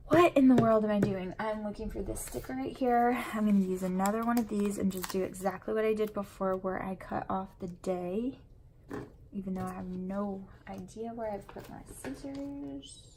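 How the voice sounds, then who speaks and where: A woman's voice: medium pitch, normal speed, darkish tone, a little hiss or hum, low-quality recording. A sniffly woman with a runny nose, chatting in a rambling way while she decorates her planner at home. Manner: rambling and chatty, full of fillers. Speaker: planner vlogger and mom.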